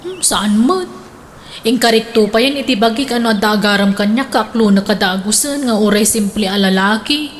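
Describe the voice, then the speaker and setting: A woman's voice: mid-range voice, animated, normal speed, a little hiss or hum, natural voice. A woman playing a touchy, sulky single woman in a comedic radio drama, given to sighing interjections. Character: touchy single woman, sulkily lamenting lovelessness.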